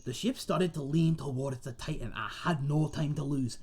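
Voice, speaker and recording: A man's voice: fairly high pitch, darkish tone, breathy edge. A man playing a friendly, rambling tavern owner and seasoned adventurer, telling tales to one listener in a folksy, chatty way in a fantasy audio roleplay. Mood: excited. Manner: chatty, folksy, dramatic pauses, calls listener mate.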